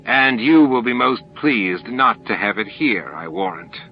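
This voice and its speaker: A man's voice: mid-range voice, animated, unhurried, dull audio, soft background music. A man voicing a challenging alien council member with a dry, pointed, formal way of speaking. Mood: dry, sardonic.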